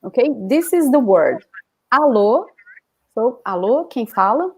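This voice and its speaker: A woman with a fairly high voice and a Brazilian accent speaks as a language teacher. She is a native Portuguese speaker, explaining things informally as in a live online class. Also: big pitch swings, unhurried, darkish tone, breathy edge, poor audio.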